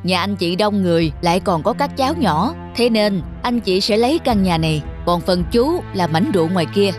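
A woman's voice: mid-range voice, animated, moderate pace, natural voice. A woman voicing a selfish, bossy older brother in a folk-tale cartoon, pushy and self-justifying. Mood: smug, insistent. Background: background music.

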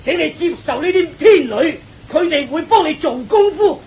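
A man's voice: extremely high pitch, lively intonation, normal speed, a little mellow. An actor playing a sly, cowardly demon king posing as a god, with a wheedling, whining manner, in a radio drama. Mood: fawning, wheedling. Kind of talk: Cantonese radio drama acting.

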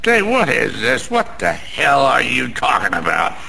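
Slow, gravelly American gambler down on luck. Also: male voice, mid-range voice, wildly swooping pitch, sharp tone, full character voice. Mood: irritated. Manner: slangy, rambling, world-weary. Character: down-on-his-luck, bragging male small-time gambler, sentimental and bitter. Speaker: actor. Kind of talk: radio drama monologue. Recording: faint background noise.

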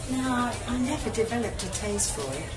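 An actress playing a friendly, modest, starstruck young model in a radio drama. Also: female voice, fairly high pitch, expressive, fairly quick, close to an everyday voice. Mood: modest, chatty. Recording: roomy, distant, music behind.

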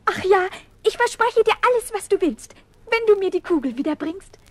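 A spoiled young princess from a fairy-tale radio play. Her voice is extremely high and girlish, with a plaintive, fretful manner. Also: lively intonation, normal speed. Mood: pleading.

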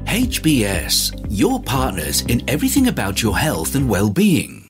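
A man voicing a radio station promo with a very lively, sweeping delivery. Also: medium pitch, unhurried. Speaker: station announcer. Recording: jingle music under voice.

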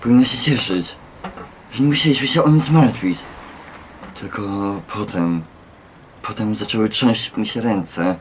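A man's voice: lowish voice, a little slow, long pauses, slight background noise, lightly acted. A man acting a bitter, regretful homeless man, muttering with resignation in a radio play.

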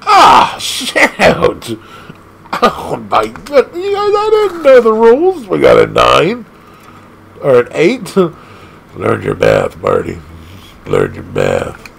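Man, gravelly, slow talker, wild pitch swings, faint hum behind. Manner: excitable, laughs often, jumps between squeaky highs and low growls. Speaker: gaming channel host. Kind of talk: casual arcade gameplay commentary.

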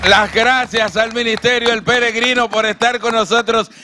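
A man's voice: extremely high pitch, steady tone, moderate pace, over loud music. A man hosting a casual TV talk show in a studio, teasing his guests and laughing easily. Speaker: host. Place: TV studio set. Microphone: handheld mic.

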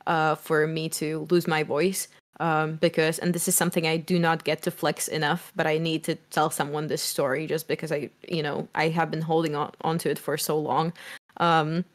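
Young woman, low voice, non-native English speaker, hesitant guest in a casual podcast chat. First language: not English. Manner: hesitant, many fillers.

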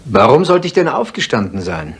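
An actor playing a guarded, defensive husband under suspicion in a 1970s German radio crime drama, courteous but evasive. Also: male voice, mid-range voice, sing-song, moderate pace, fairly bright tone, slightly rough.

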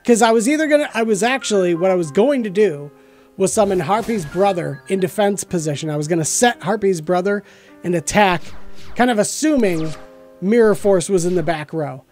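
A man's voice: sits high, expressive, fairly quick, darkish tone, faint music behind. A middle-aged American man giving casual, close-miked commentary on a card game as the host. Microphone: close desk microphone.

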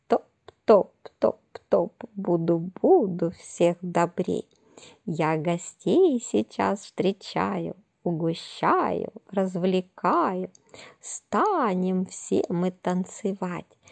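A woman storyteller puts on an old storybook witch of the forest who stomps through a song and lectures her unruly guests. The voice is gravelly and husky, unhurried, on a warm, dark tone. It is recorded outdoors in a garden on a camera at a distance. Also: on the low side, exaggerated voice. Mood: singing merrily. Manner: sings a stomping song, then scolds sternly. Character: old female forest witch, cheerful then strict.

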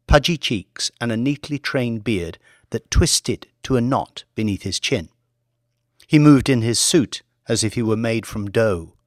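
A man's voice: mid-range voice, no echo, clean studio sound. A man reading scripted fiction as an audiobook narrator, with dramatic storytelling delivery.

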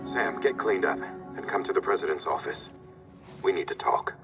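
A man acting as a stern commanding superior in a game, very deep in voice. He sounds distant, with long reverb under loud music. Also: moderate pace, close to an everyday voice. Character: stern male superior giving orders. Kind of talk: video game cutscene acting.

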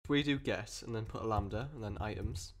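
A man with a cold and a croaky voice, explaining code step by step in a rambling way with many fillers and restarts. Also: on the low side, fairly quick. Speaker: programming tutor. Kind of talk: screen-recorded coding tutorial.

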